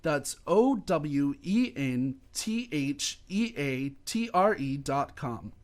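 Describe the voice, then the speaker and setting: A man's voice: fairly high pitch, very animated, slow pace. A man reading a dramatic scripted radio promo as an announcer. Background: loud plucked guitar music.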